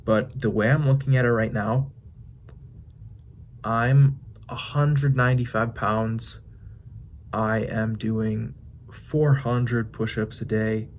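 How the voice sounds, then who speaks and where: A man in his twenties talking through his thoughts in a casual vlog, musing with pauses and restarts. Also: on the low side, level tone, a little hiss or hum. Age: 20s. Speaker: fitness vlogger. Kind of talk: reflective casual vlog monologue.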